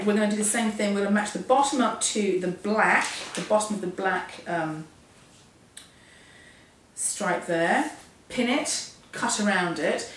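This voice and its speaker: Hoarse lady with a cold, chatty sewing tutorial, far off the mic, super echoey room. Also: medium pitch, slight background noise. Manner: chatty, self-deprecating, explains step by step. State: hoarse, losing her voice from a cold. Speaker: sewing instructor.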